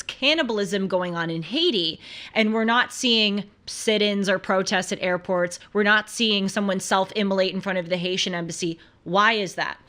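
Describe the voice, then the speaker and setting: A woman's voice: mid-range voice, bright, forceful tone. An American woman hosting a talk show, giving conversational political commentary in an earnest, emphatic way full of rhetorical questions.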